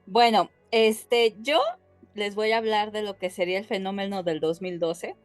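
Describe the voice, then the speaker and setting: A woman's voice: mid-range voice, steady tone, normal speed, no room sound, soft background music. A woman co-hosting a podcast, casually telling stories to her co-hosts in a playful, colloquial way. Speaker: podcast co-host.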